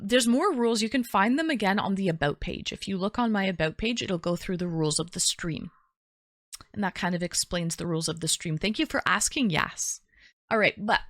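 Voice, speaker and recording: A woman's voice: medium pitch, fairly bright tone, dry recording, studio quality. A woman with a Canadian accent hosting a livestream in casual, chatty talk, informally addressing her viewers and often repeating her phrases. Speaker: livestream host.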